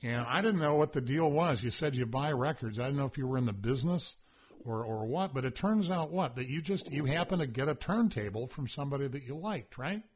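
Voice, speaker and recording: A man hosting a casual radio chat show, talking loudly and rambling with stammered restarts and a husky voice. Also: mid-range voice, animated, brisk, a little hiss or hum. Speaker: radio host. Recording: dull lo-fi radio broadcast.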